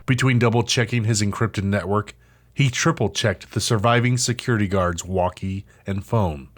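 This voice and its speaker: A man narrating an interactive audio drama in a suspenseful, theatrical scripted style with dramatic pauses. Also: fairly low pitch. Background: music.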